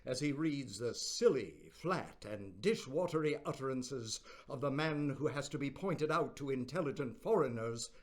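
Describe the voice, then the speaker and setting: A man's voice: medium pitch, animated, moderate pace, few pauses, close to an everyday voice. A man playing an indignant old-time newspaper editorialist in a radio play, haughty and disdainful. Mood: scornful.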